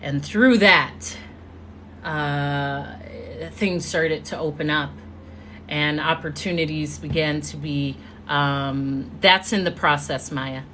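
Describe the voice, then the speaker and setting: A woman giving an earnest motivational talk as a live stream, telling her story with drawn-out pauses. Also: low-pitched, fairly even intonation, sharp tone, a little hiss or hum. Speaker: motivational speaker. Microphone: phone or webcam mic.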